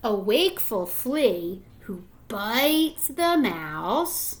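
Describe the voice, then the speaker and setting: A woman teacher reading a picture book aloud to young children, deliberate and expressive, prompting them with questions. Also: fairly high pitch.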